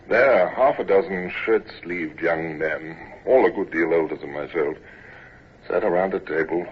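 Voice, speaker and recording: A deep-voiced naval captain. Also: male voice. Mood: narrating. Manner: stammering when acting young, wry when narrating. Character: naval captain recalling himself as a shy, nervous midshipman. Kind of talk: 1940s radio acting. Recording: tinny old radio broadcast with hiss.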